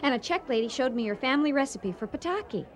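Proud female chef, high swooping voice, competitive, easily stung, sarcastic, radio comedy drama. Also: lively intonation, quick pace. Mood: hopeful. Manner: pointed, sarcastic.

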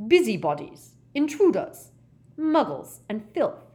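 A woman's voice: lowish voice, expressive, a little slow. A woman voicing an aggressive, suspicious elderly man with curt, rustic speech in a storybook reading. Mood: aggressive, loud. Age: older. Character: gruff, aggressive, suspicious elderly male head of a squalid household.

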